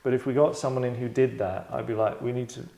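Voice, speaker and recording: A man hosting and directing a table read, chatting casually in a tongue-in-cheek acting critique, deadpan, rambling and self-satisfied. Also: mid-range voice, fast, gravelly. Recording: roomy, echoey indoor sound.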